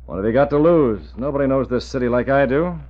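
An actor playing a confident masked vigilante posing as a crook in an old-time radio drama, firm, terse and commanding. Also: male voice, mid-range voice, lively intonation, quick pace, close to an everyday voice. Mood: persuasive. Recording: muffled old radio recording.

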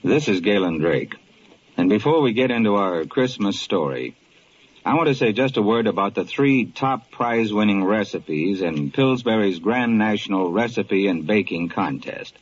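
A man's voice: on the low side, animated, moderate pace. A man with an American accent reading a sponsor message to listeners in mid-century radio style. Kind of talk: 1940s-50s radio commercial, talking directly to listeners. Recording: muffled, noisy vintage radio recording.

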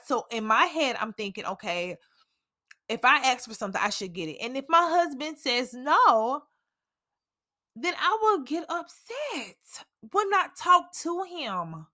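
A candid, chatty woman in her early thirties hosts her own solo podcast. Her voice is bright and forceful and sits a little high. She laughs easily as she talks. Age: 30s. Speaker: podcast host, stay-at-home mom. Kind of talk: casual solo podcast talk.

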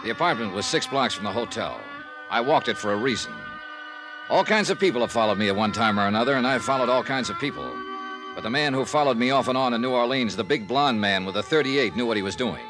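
A man's voice: medium pitch, fast talker, lightly acted. Male actor as a world-weary American investigator for vintage radio crime drama: terse, hardboiled, dry delivery, prominent music bed. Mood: brisk, matter-of-fact. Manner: clipped, hardboiled, deadpan. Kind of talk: 1950s radio detective acting and first-person narration.

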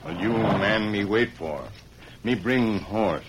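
An actor playing a stoic, loyal western sidekick who speaks terse, halting broken English, in old-time radio style. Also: male voice, lowish voice. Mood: stoic, matter-of-fact. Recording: old radio recording with faint noise.

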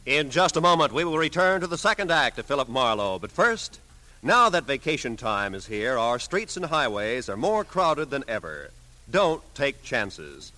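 A man's voice: medium pitch, very animated, normal speed, pushed and bright. A man announcing a radio drama in a formal, emphatic 1940s style. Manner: emphatic, polished.

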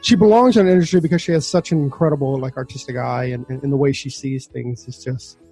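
A man's voice: mid-range voice, quick pace, mellow, slightly rough, faint music behind. A man co-hosting a podcast, laid-back and joking, with lots of fillers. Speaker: podcast co-host. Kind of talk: casual podcast chat.

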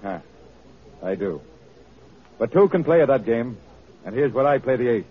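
A scheming, smooth-talking political boss in a vintage radio drama. The man sounds brusque and sure of himself, with a medium-pitched voice. The recording is narrow and tinny. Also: animated, moderate pace. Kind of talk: old-time radio drama acting.

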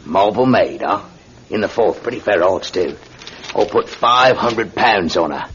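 An actor playing a blunt, eager working-class British landlord with a betting habit in a classic radio drama, speaking colloquially. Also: male voice, lowish voice, expressive, a little slow, fairly bright tone, heavily acted. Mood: excited, delighted. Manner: colloquial, uses "me" for "my". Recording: muffled radio recording with clear noise.

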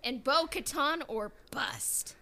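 A woman's voice: high voice, lively intonation, slow, gravelly, bright, forceful tone. A woman co-hosting a podcast in casual, playful chat. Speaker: podcast co-host.

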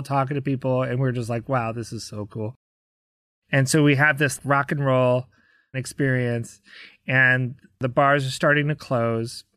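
An American man, a musician being interviewed, telling anecdotes in a casual, animated way and acting out the dialogue. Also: mid-range voice, clean tone, dry recording, studio quality. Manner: conversational, acts out quoted dialogue. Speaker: interview guest, rock drummer.

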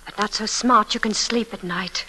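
A woman's voice: medium pitch, normal speed, pushed and bright, slight background noise, close to an everyday voice, poor audio. An actress playing a tense, frightened young woman in an old-time radio thriller, hesitant, breathless and dramatic. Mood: accusing.

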